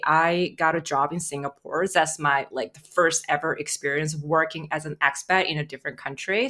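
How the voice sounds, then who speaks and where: A woman's voice: sits low, fairly bright tone. A woman in her thirties from South Korea, a career expert guest who talks eagerly and is full of "like" and "you know". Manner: enthusiastic, many fillers like "like" and "you know". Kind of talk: casual interview talk.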